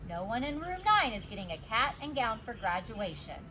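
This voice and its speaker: A woman voicing a patient but weary female kindergarten teacher in a children's storybook, correcting firmly and deliberately. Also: a little high, very animated, sharp tone, slight background noise, low-quality recording. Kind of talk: children's storybook character reading.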